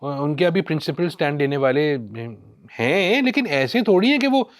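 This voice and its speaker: A Pakistani man with a somewhat high, highly animated voice holds forth as a film commentator. He talks conversationally but with emphasis, throwing out rhetorical questions. A sarcastic edge runs through his opinions. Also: moderate pace. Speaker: podcast guest, film critic.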